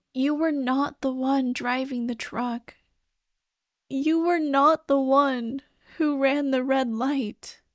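Woman acting a slow, high-voiced, tender ghost girlfriend. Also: no room sound, studio quality. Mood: tender, reassuring. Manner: slow, tender, sighing, pleading. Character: gentle, grieving ghost of a dead girlfriend, comforting, pleading. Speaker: ASMR roleplay performer. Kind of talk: soft ASMR roleplay acting.

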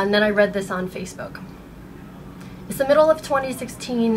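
An American woman in her thirties speaking in an earnest, halting way, upset and shaken, as in a personal vlog. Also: medium pitch, a bit bright, low-quality recording. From United States. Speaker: vlogger. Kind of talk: personal video blog, partly reading aloud. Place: small bedroom at home. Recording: noticeable background room noise.

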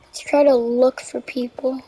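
A young woman casually commenting on her own gameplay, relaxed and a bit tired. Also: a little slow, breathy edge, low-quality recording. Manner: relaxed, low-key narration of play, says she is tired. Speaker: gaming video creator.

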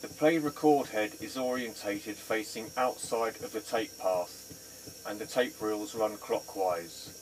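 A man giving a scripted demonstration in a plain, measured, matter-of-fact way. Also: fairly low pitch, low-quality recording. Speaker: presenter demonstrating vintage equipment. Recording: faint background noise.

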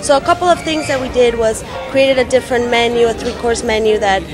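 A woman giving promotional interview talk as a restaurant representative, halting and rephrasing as she goes. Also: fairly high pitch, steady tone. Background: music.

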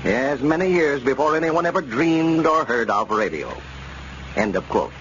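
Male 1930s sponsor announcer, animated scripted read, middle pitch, noisy vintage recording. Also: normal speed. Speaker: radio announcer. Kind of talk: 1930s radio sponsor announcement, reading a letter. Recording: muffled, noisy old radio broadcast.

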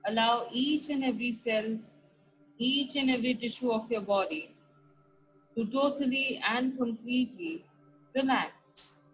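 A woman with a fairly high voice leads a guided meditation, speaking very slowly and softly with long pauses between phrases. The recording is dull and lo-fi, with faint music underneath. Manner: soft, slow instructions with long pauses. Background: soft background music.

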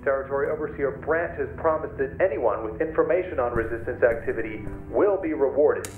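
A man's voice: medium pitch, a little fast. A dystopian official announcer speaks through a thin, echoing public-address filter in a radio drama. His delivery is scripted, with faint music behind. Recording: muffled, echoing loudspeaker sound.

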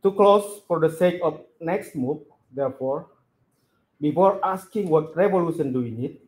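A man in a conference room reading prepared remarks as a discussant, in a deliberate, emphatic tone, picked up by a camera across the room. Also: a little high, darkish tone, rough recording.

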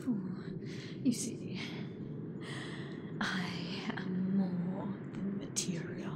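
Woman acting a slow, menacing British AI goddess. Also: mid-range voice, completely flat delivery, very noisy. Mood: mocking, coy. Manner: theatrical, deliberate, mocking, often breathy near-whisper. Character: hateful, gloating female sentient AI god, menacingly possessive. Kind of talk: ASMR roleplay.

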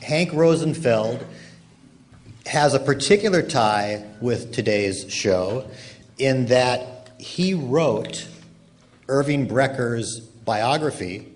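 A man's voice: medium pitch, animated, takes it slowly. A man interviewing guests as host of a live panel on stage. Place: large hall stage, before an audience. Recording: noisy live hall recording.

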